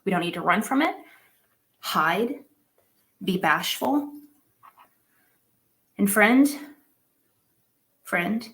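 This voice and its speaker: A woman's voice: on the low side, creaky, fairly bright tone. A woman giving an emphatic, encouraging motivational talk over a video call at a virtual summit. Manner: emphatic, encouraging, addresses listeners as friend. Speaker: summit speaker.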